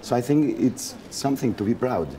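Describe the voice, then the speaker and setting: A man from Mexico with a Mexican Spanish accent, speaking English as a second language in a casual interview and often pausing to search for words. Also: mid-range voice, noisy background. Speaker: actor, interview guest.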